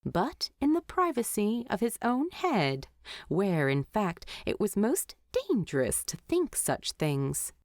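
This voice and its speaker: A woman's voice: mid-range voice, very animated, takes it slowly, darkish tone, no room sound. A woman narrating a written story expressively into a close microphone.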